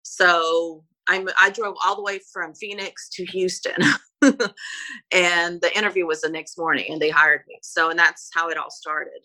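A Brazilian woman, a native Portuguese speaker, recalls her work as a private flight attendant in a relaxed interview. Her voice sits slightly low but sounds bright and forward. She talks in loose, stop-start runs full of fillers and restarts. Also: moderate pace, low-quality recording. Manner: hesitant, many fillers and restarts. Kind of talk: casual interview storytelling.

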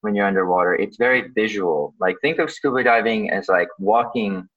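A man from Canada, born in Ukraine, answering interview questions casually, informally and haltingly as he thinks aloud. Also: lowish voice. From born in Ukraine, grew up in Canada. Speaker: guest, underwater photographer and dive professional. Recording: slightly dull, lo-fi sound.

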